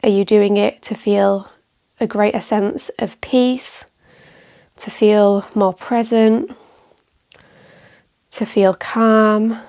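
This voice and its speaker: A woman guides a meditation as a teacher, speaking calmly and gently in measured phrases with pauses. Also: mid-range voice, clean tone. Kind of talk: guided meditation, calm instruction.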